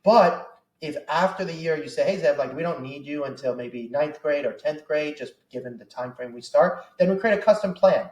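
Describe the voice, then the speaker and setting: A man's voice: a little high, normal speed, hardly any pauses, muffled recording. A young man talks through his coaching service in an easy, persuasive way and often drops "you know" and "um". He sits some way from the mic in a room that rings with echo. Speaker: college soccer recruiting advisor. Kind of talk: casual sales pitch to parents and players. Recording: echoey room, distant mic.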